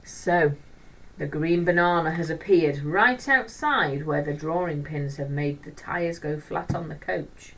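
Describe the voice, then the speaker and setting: A woman storyteller reading a children's book aloud in an expressive narrating style. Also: deep voice, unhurried, distant mic, low-quality recording.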